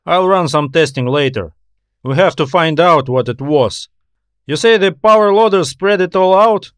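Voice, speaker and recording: A man's voice: on the high side, lively intonation, normal speed, smooth, dry recording, studio quality, pitched above the performer's own voice, lightly acted. A man voicing a friendly, inquisitive male space station worker in audiobook dialogue, casual and questioning. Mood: casual, curious.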